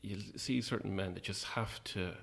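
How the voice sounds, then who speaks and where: A man's voice: deep voice, normal speed, vocal fry, a bit bright. A man chatting casually as an interview guest about his own creative work, in a hesitant, reflective way. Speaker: playwright and actor, interview guest.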